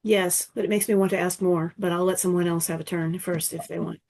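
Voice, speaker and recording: A woman's voice: lowish voice, steady tone, fairly quick, a crowd in the background. A woman taking part in a discussion as an audience member, phrasing her questions tentatively and thoughtfully. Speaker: audience participant asking questions. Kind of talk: casual spoken discussion. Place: virtual event.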